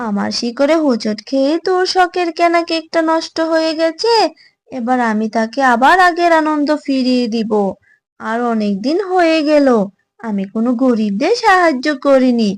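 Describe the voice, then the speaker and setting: A woman acts out a magical talking tree in a children's cartoon. The voice is high and feminine, with a kindly, gentle temper. It speaks like a benevolent helper of the poor. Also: animated, normal speed. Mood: kindly, reassuring. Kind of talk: cartoon voice acting.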